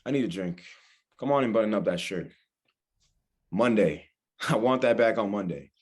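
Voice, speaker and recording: Man reading as husky, exasperated wry buddy. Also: on the low side, expressive, moderate pace. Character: exasperated, wry young man scolding his pal. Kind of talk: script table read.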